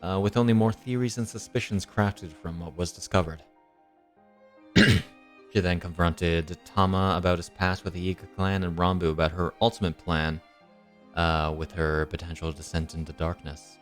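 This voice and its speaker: A man's voice: deep voice, fairly even intonation, moderate pace. A young man with a slightly nasal voice, recovering from illness, hosting and recapping a tabletop game as dungeon master with many ums and uhs. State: recovering from illness and tooth surgery. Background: soft background music.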